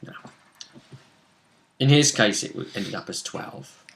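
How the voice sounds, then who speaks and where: A man's voice: mid-range voice, slow, a bit bright. A man acting as game master and host, casually recapping a role-playing session in a storytelling way that teases suspense.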